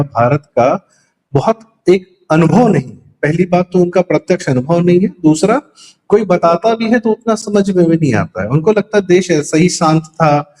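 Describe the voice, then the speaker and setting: Higher-voiced man giving emphatic political commentary. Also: a little mellow, low-quality recording. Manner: emphatic, poses rhetorical questions and answers them; mixes English words into speech. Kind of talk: opinionated political commentary, conversational explaining.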